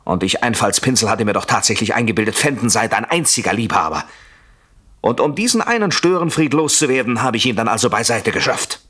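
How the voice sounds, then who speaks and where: An actor in a radio play playing a jealous, coldly sardonic husband with a mockingly polite, deliberate manner. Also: male voice, mid-range voice, lively intonation, pushed and bright, husky. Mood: mocking, menacing.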